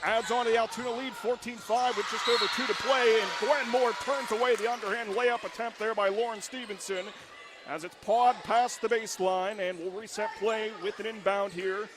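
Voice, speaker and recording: High-voiced man calling basketball on radio. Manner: rapid, excited calls that rise to shouts on big plays. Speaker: radio play-by-play announcer. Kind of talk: live basketball play-by-play. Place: high school gym. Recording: radio broadcast with loud gym noise.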